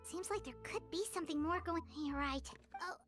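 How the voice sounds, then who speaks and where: A woman voicing a small, chirpy fairy companion in an anime game dub, with a squeaky childlike voice and a cutesy, exclamatory way of talking. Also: a little fast, music in the background. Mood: curious.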